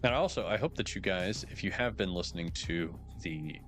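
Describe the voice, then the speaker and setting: A slightly hoarse man casually commenting while playing a game on a live stream, thinking aloud with wry asides. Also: deep voice, lively intonation, moderate pace, fairly bright tone. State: a little hoarse. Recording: background music under voice.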